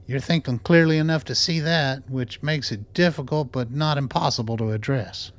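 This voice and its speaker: An actor playing a thoughtful, cautious aging scientist in a radio play, talking in a measured, gently wry way. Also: male voice, mid-range voice, lightly acted. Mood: gentle, reflective. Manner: measured, reflective.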